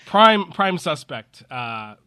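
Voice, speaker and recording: A man's voice: fairly high pitch, very animated, takes it slowly, sharp tone. A man hosting a podcast in casual, joking chat, congested from a cold. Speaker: podcast host.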